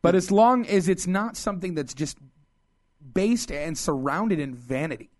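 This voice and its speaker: High-voiced man arguing his point. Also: lively intonation, normal speed. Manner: argumentative, insistent. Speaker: radio show co-host. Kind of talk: casual studio banter.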